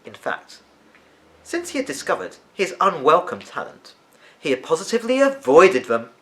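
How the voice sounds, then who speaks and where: A man reading a children's story aloud as an expressive narrator. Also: fairly high pitch, normal speed, low-quality recording.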